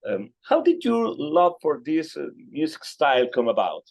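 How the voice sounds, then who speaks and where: A man's voice: fairly high pitch, sing-song, moderate pace, creaky, darkish tone. A man with a Peruvian Spanish accent, a native Spanish speaker working as a radio interviewer, searching for words as he asks conversational questions. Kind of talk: conversational interview in a second language.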